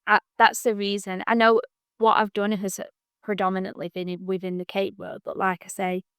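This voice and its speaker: Soft-spoken, reflective woman guest. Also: medium pitch, little pitch movement, moderate pace, clean tone, no room sound, studio quality. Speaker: business owner guest. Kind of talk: casual interview chat.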